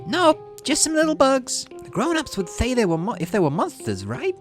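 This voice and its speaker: A man's voice: very high-pitched, huge pitch swings, moderate pace, faint music behind, pitched above the performer's own voice, heavily acted. A man voicing a cheerful, carefree young girl in a video game, chatty and eager in a childlike way. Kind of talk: visual novel character voice.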